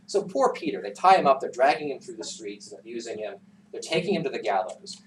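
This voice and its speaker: Male teacher as oral storyteller, lively swooping pitch, conversational, recorded roomy and distant. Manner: animated, conversational, pausing for asides. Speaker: teacher telling a folktale to a class. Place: classroom, recorded from some distance. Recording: roomy, distant classroom recording with background noise.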